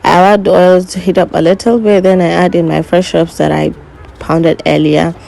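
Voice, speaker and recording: A young woman who is not a native English speaker, narrating her cooking step by step in an unhurried way and correcting herself as she goes. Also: on the low side, little pitch movement, creaky, dark tone, poor audio. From Nigeria. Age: young adult. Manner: unhurried, self-correcting, instructive. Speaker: cooking vlogger.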